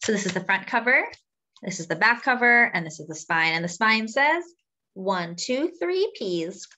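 A young adult woman reading a picture book aloud to small children, addressing them in a deliberate sing-song way. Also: mid-range voice, expressive, pushed and bright. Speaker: children's story reader.

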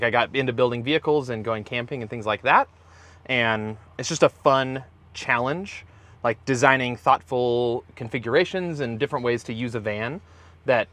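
A man's voice: medium pitch, a bit bright, no room sound. A man in his thirties, a van company founder, talking casually to camera in an interview with easy fillers. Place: inside a camper van and outdoors by the van.

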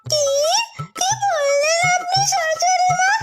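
A woman's voice: very high-pitched, fairly even intonation, slow pace. A woman voicing a brash, bossy, quarrelsome young daughter-in-law in a fairy-tale cartoon, scolding and accusing. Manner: confrontational, scolding. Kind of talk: dramatized Bengali fairy-tale cartoon acting. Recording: loud music behind the voice.

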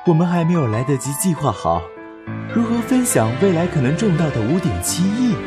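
Guy playing a whiny dramatic boyfriend in a comedy radio play, super lively pitch, fake solemn, music behind him. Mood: mock-solemn. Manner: mock-solemn, theatrical, drawn-out delivery. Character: melodramatic young boyfriend, clingy, self-pitying, cheeky. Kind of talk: comic audio drama acting.

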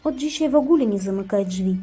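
A woman's voice: mid-range voice, lively intonation, fast, dark tone, slightly rough. A woman voicing a broken Christ figurine in a religious cartoon, solemn, firm and instructive. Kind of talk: amateur religious drama in a cartoon.

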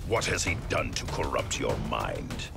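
A deep, gravelly warrior monk. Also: male voice, sing-song, exaggerated voice. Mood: wary. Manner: grave, measured. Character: grave male warrior monk, wary and stern. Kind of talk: video game cutscene acting. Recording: music score underneath.

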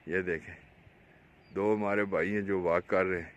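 A man's voice: fairly even intonation, moderate pace, lo-fi sound. A man with a Pakistani accent gives casual, repetitive vlog commentary as a fishing vlogger, pointing things out to viewers into a handheld phone outdoors. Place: outdoors on an open road along a dam. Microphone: handheld phone camera, speaker behind it. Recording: noisy outdoor phone video, ambient rumble.

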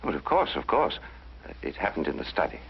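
An actor playing a courteous, guarded lord with a gravelly voice and stiff manners, in a 1950s television drama. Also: male voice, a bass voice, very animated, brisk, heavily acted. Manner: stiffly courteous, clipped answers. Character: courteous, guarded English lord, Foreign Office gentleman. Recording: dull 1950s broadcast soundtrack.

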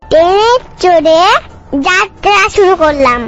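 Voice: extremely high pitch, takes it slowly, a bit bright, heavily acted. A cartoon voice of a bossy little girl, self-assuredly telling absurd tall tales in a childish, scolding manner. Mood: earnest, dramatic. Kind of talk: comic cartoon dialogue. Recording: dull lo-fi audio, music behind.

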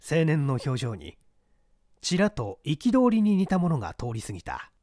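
A man's voice: on the high side, wildly swooping pitch, measured pace, warm tone, no room sound, clean studio sound. Dramatic male story narrator.

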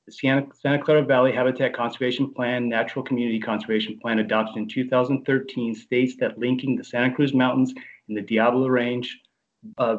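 A man on staff presenting a project briefing at a board meeting, reading formally from a script over a video call. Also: medium pitch. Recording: dull lo-fi video call audio.

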